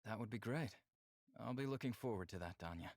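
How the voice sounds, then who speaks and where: A man performs an earnest alien man in a visual novel. He speaks in a lowish voice with measured, formal phrasing, recorded close and dry. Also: animated, darkish tone, no room sound. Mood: gentle, hopeful. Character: open-minded, measured male alien, earnest and teasing.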